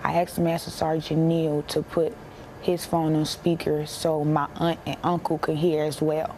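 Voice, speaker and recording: A young American woman speaks in a low, level voice as an interviewee. Her telling is plain and halting, with emphatic repetition. There is some background noise on the recording. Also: little pitch movement, a little mellow, rough recording. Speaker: interviewee, bereaved military widow. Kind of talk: emotional personal account in a broadcast interview.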